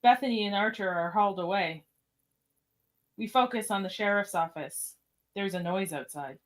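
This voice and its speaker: A woman's voice: mid-range voice, normal speed. A woman reading scripted stage directions as the narrator of a podcast radio play.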